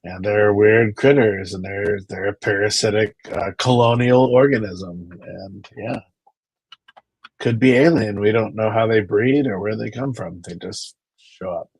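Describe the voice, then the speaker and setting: A man's voice: lowish voice, a little slow, creaky, darkish tone, slightly rough, low-quality recording. A man chatting on a video call, rambling into stories and repeating words as he goes. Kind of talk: casual chat.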